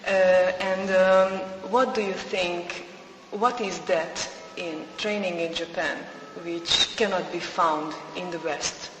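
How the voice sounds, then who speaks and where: A man's voice: high-pitched, fairly even intonation, takes it slowly, hardly any pauses. A man with a Hungarian accent hosting a podcast interview, speaking hesitantly with many fillers. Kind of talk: casual interview. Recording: reverberant room with faint noise.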